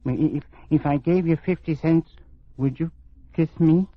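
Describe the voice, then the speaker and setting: An actor playing a meek, self-deprecating middle-aged husband in an American radio comedy, hesitant and deadpan. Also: male voice, on the high side, normal speed, no room sound, lightly acted. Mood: shy, earnest. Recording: muffled, noisy old radio with studio audience.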